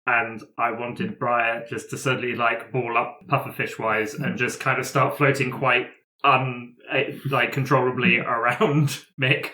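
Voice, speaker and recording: A man playing a tabletop roleplaying game, describing character actions in a jokey, halting, improvised way. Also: mid-range voice, little pitch movement, sharp tone. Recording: echoey room.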